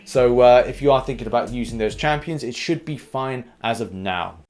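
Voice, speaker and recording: A man's voice: mid-range voice, moderate pace, a little mellow. A man hosting a gaming news video, delivering commentary in an informal, conversational way. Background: background music.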